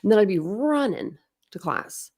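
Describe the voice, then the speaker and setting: A woman's voice: mid-range voice, very animated, normal speed, a little mellow. A woman with a Midwestern accent, chatting casually with her viewers as a livestream host, rambling and saying "like" a lot. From Ohio. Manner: rambling, heavy use of "like", restarts.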